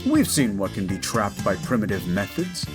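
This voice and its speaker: A man's voice: fairly low pitch, very animated, normal speed, music in the background. A man playing an earnest, devout ghost hunter in an audio drama, conversational and a little rambling. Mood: defensive, rambling. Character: earnest, devout male ghost hunter with folk-religious methods.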